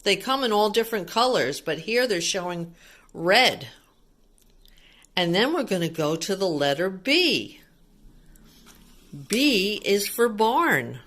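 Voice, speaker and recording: A woman's voice: medium pitch, sing-song, takes it slowly, pauses often, a bit bright. A woman reading a picture book aloud to young children, asking simple questions and explaining things as a teacher would.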